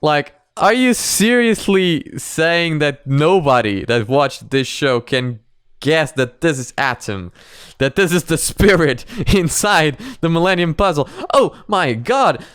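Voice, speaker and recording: Smooth-voiced rambling man. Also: a little high, animated, slow, fairly bright tone, no room sound, studio quality. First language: Portuguese. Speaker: reaction host. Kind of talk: casual reaction commentary.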